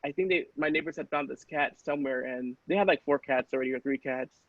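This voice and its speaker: A man's voice: mid-range voice, quick pace, dry recording. A man in a casual podcast chat, rambling along and peppering his talk with "like". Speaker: podcast cast member. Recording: slightly muffled, lo-fi audio.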